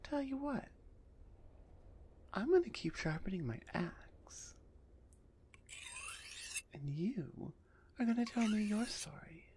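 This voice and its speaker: A woman voicing a gruff female minotaur executioner in an ASMR roleplay, husky and often half-whispered, impatient and sardonic with her captive. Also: mid-range voice, sing-song, takes it slowly, pauses often, dark tone, a little hiss or hum. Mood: taunting.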